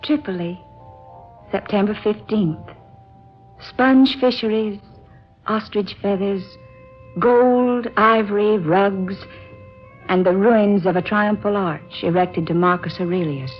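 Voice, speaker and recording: An actress plays an earnest woman traveler in a 1940s radio drama. She recites her diary deliberately, place by place and date by date. The old recording is dull, with music playing behind her. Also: mid-range voice, natural voice. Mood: measured, reflective. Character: earnest woman traveler reading her journey diary, seeking a mysterious house. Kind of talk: 1940s radio acting, scripted narration.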